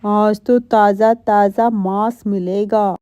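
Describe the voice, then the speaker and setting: A woman voices a cartoon eagle chief who speaks slowly. The tone is very mellow and the recording is dry and somewhat muffled. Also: mid-range voice, steady tone, smooth, no echo, natural voice. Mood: hungry. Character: eagle chief, predatory turned brotherly, slow-spoken.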